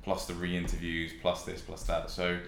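Deep young southern English man, roomy sound. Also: monotone, moderate pace, creaky, a bit bright. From West Sussex, England. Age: young adult. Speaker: podcast guest. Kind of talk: casual chat, telling own experience. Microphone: podcast microphone. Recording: roomy echo with faint noise.

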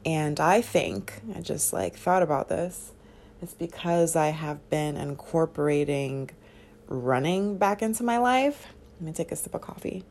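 Low, slow, sleepy-voiced woman chatting. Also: a little hiss or hum. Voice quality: sleepy-sounding voice. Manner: relaxed, chatty, many fillers and soft laughs. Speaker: podcast host. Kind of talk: casual solo podcast chat.

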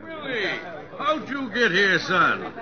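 A man's voice: high-pitched, huge pitch swings, takes it slowly, exaggerated voice. An actor playing a jovial, folksy older father and senator, speechifying in old radio drama style. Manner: folksy, oratorical. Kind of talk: 1940s radio acting. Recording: muffled old radio broadcast, crowd behind.

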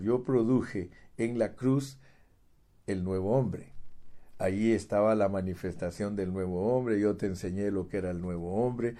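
A man's voice: fairly low pitch, warm tone. A middle-aged man preaching a sermon, emphatic, repeating words and calling the listener brother. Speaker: pastor. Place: United States.

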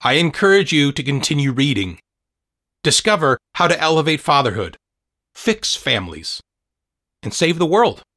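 A man's voice: fairly high pitch, big pitch swings, moderate pace, pauses often, pushed and bright, no echo. Man reading scripted audiobook, dry sound. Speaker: author and podcast host reading his own book.

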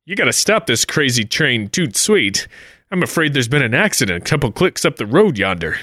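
Man acting a lively, folksy radio-play adventurer. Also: medium pitch, normal speed, no room sound, studio quality. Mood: sly. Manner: old-time folksy slang, sly patter. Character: folksy, wily adventurer narrating his own scheme. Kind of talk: 1940s-style comedic radio play acting.

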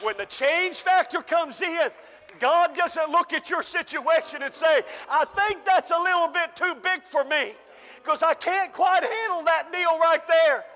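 Male pastor, fervent shouting preacher, strained voice, bright edge, echoing church hall. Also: very high-pitched, measured pace. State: losing his voice. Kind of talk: sermon.

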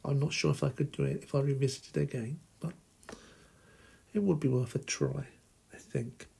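A middle-aged man from England hosting a solo podcast, talking slowly and deliberately in a husky, gravelly voice. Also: medium pitch, fairly even intonation, dark tone. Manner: slow, deliberate and plain. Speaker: podcast host. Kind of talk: slow solo podcast monologue.

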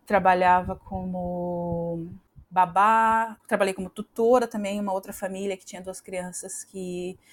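A woman's voice: mid-range voice, steady tone, unhurried. A Brazilian woman in her thirties, a podcast guest talking casually, with many fillers and restarts. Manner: chatty, frequent fillers and restarts. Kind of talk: casual interview conversation.

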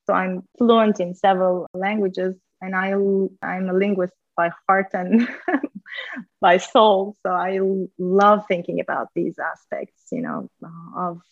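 Earnest woman, gravelly voice. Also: fairly low pitch, lively intonation, takes it slowly, no echo, lo-fi sound. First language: Turkish. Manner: earnest, repeats words for emphasis. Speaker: podcast guest, linguist. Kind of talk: candid personal conversation.

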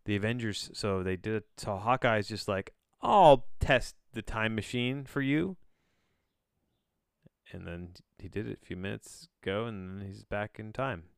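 A man's voice: on the low side, unhurried, vocal fry, clean tone, no echo, clean studio sound. A man hosting a solo podcast, casually reading aloud with bemused, rambling asides and frequent self-interruptions. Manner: casual swearing. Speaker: podcast host.

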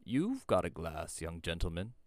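A man voicing an old rustic shepherd in a storybook, speaking in country dialect with slow, emphatic, grave warnings. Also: deep voice, wildly swooping pitch, darkish tone, no echo. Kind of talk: storybook character voice.

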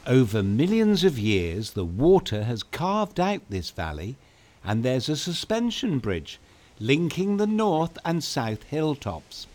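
Male kids' storyteller: playful, theatrical, highly animated, unhurried, with music underneath. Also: slight background noise. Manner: playful, theatrical, addressing young listeners directly. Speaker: storyteller and host of a children's bedtime podcast. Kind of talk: scripted children's story narration.

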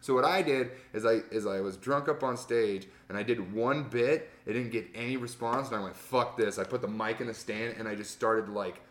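A man, a stand-up comedian, telling rambling, profane stories from his early sets in a casual chat, with a very loud voice. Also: mid-range voice, moderate pace, few pauses. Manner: rambling storyteller, profane, laughs often. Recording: roomy echo.

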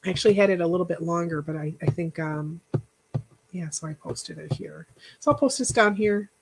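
A woman hosting a casual live chat, rambling and encouraging, thanking viewers by name, with a stuffy nose and a voice that is going hoarse. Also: lowish voice, brisk, noisy background. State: losing her voice. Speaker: podcast host.

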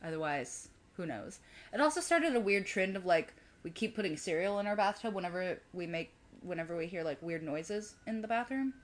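A woman's voice: fairly low pitch, lively intonation, a little fast. Wry woman telling a casual story. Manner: wry, self-deprecating humor. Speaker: video co-host.

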